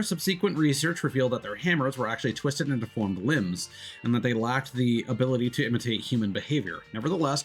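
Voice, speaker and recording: A man streaming a video game, chatting casually and reading the game's text aloud, often stumbling over repeated filler words. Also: medium pitch. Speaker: VTuber streamer. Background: faint game music.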